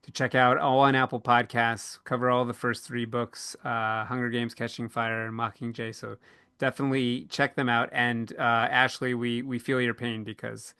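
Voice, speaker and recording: A man hosting a podcast panel, speaking casually and pausing often mid-thought as he works through his points. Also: mid-range voice, level tone, sharp tone, clean tone, dry recording, clean studio sound. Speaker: podcast host and panel moderator.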